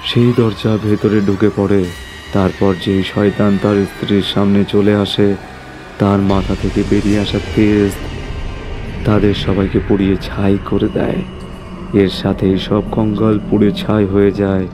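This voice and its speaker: A man's voice: on the low side, monotone, moderate pace, music in the background. A man narrating a scripted horror story as its storyteller.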